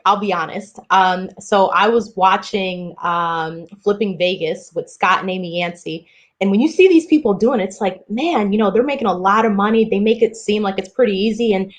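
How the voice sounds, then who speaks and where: A woman from the Dominican Republic, a podcast guest telling her story candidly with frequent ums. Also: mid-range voice. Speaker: podcast guest, part-time real estate investor. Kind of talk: casual interview talk.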